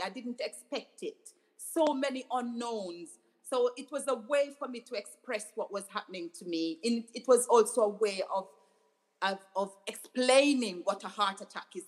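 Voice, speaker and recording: A woman's voice: medium pitch, rough recording. A middle-aged woman from Jamaica, a doctor and author, speaking earnestly as an interview guest, often restarting phrases. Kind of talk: interview conversation.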